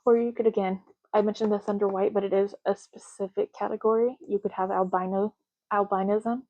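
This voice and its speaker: A soft-spoken woman doing an ASMR roleplay as a clerk, talking casually and matter-of-factly in unhurried short phrases with pauses. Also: mid-range voice, fairly even intonation, lo-fi sound. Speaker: ASMR roleplay host, playing an intake clerk making ID cards.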